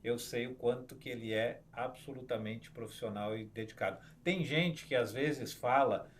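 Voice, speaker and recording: A man's voice: medium pitch, moderate pace, slight background noise. A man hosting an interview, steering the talk back to its topic. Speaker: interview host.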